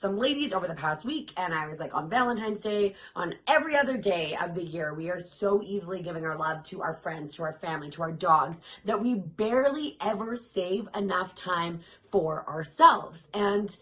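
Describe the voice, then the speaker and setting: A woman with a raspy, worn-out voice that sits a bit low, chatting to her viewers as an online fitness coach. She stays upbeat and encouraging. The sound is a little dull and lo-fi. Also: animated, normal speed, hardly any pauses. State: hoarse, voice worn out. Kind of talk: casual vlog talk to camera.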